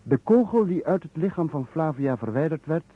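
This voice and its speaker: An actor playing an earnest, methodical police investigator in an old radio drama, deliberate and matter-of-fact. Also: male voice, fairly high pitch, expressive, slightly rough. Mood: explanatory, earnest. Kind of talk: old Dutch radio detective drama acting. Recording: muffled, narrow-band vintage radio recording.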